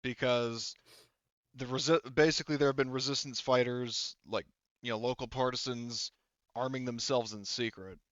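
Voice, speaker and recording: A man running a tabletop roleplaying game, narrating in a halting, conversational way and paraphrasing what characters say. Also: mid-range voice, fairly even intonation, fairly bright tone, dry recording, dull audio. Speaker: game master.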